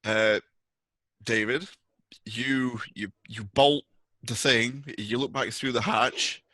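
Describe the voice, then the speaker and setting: Man, game master, bright forceful voice, dry close sound, tabletop narration. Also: medium pitch, fairly even intonation, takes it slowly, no room sound.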